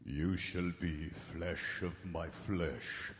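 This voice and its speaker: A creepy vampire count intones in a very low voice. He is slow, ominous and commanding, like radio horror theatre, with long hall reverb. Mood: menacing. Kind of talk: radio horror drama acting. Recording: reverberant hall, live stage broadcast.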